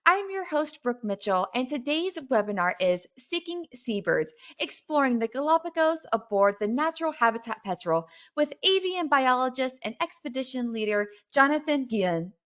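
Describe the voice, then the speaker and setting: A woman's voice: high-pitched, lively intonation, normal speed, few pauses, fairly bright tone. A woman hosting a webinar, reading a scripted introduction. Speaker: webinar host. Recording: dull lo-fi webinar audio.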